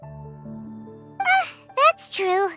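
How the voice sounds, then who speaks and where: Squeaky tiny fairy girl. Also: very animated, very slow, pushed and bright, exaggerated voice. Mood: worried. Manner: squeaky, speaks of self in third person. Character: tiny excitable childlike fairy companion girl. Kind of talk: video game character acting. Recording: game music behind the voice.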